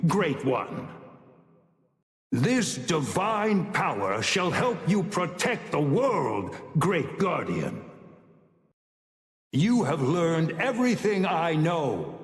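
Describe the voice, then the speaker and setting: A man's voice: fairly high pitch, exaggerated voice. A man playing an ancient, imperious sage with divine power in a video game, speaking in stern, solemn, grandiose proclamations. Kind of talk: theatrical game voice lines. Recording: long cavernous reverb on the voice.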